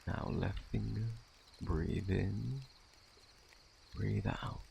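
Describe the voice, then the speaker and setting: A man with a British accent playing a courteous, scholarly plague doctor in a soft-spoken ASMR roleplay, formal and gently reassuring. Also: steady tone, drawn-out words, a little hiss or hum, exaggerated voice. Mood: calm, instructive. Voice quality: very deep, creaky, hushed. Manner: formal, gentle, reassuring, old-fashioned wording. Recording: faint night insect ambience.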